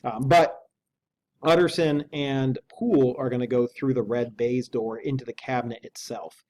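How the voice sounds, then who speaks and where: A man with a dark, mellow voice at an average pitch. He sounds like an English teacher, reading fiction aloud and explaining it casually. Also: fairly even intonation, normal speed. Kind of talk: reading a novel aloud with informal analysis.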